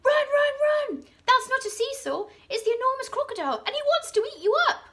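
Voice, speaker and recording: A woman shouting as frantic jungle animals raising the alarm. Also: very animated, a little fast, full character voice. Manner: urgent high shouting. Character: frantic male hippo and monkey warning children.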